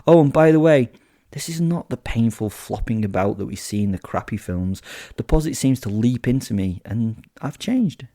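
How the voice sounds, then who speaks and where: A man's voice: mid-range voice, expressive, moderate pace, warm tone, dry recording, clean studio sound. A man voicing a sadistic, gleeful werewolf killer who tells horror audio drama in the first person, with flippant, theatrical asides. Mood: dry, confiding. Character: sadistic, gleeful male werewolf serial killer narrating his kills.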